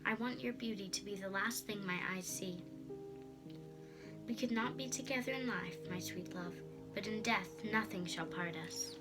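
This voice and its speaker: A woman's voice: medium pitch, normal speed, loud music behind the voice, lightly acted. A woman voicing a heartbroken, resolute young man in love in a storybook, solemn and impassioned. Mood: grieving, tender.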